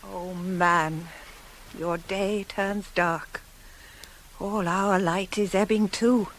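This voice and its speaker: Slow, solemn Greek attendant. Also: female voice, medium pitch, steady tone, slight background noise, poor audio. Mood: mournful. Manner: grave, measured, deliberate. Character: solemn female attendant lamenting for her king. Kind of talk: 1980s radio play, classical tragic verse acting.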